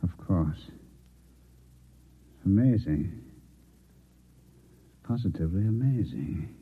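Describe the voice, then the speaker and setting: An actor playing a composed, secretive Martian posing as a newspaperman in a 1950s radio drama, speaking in a measured, condescending way. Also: low voice, lively intonation, heavy background noise. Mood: stunned. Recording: muffled, narrow-band old radio recording.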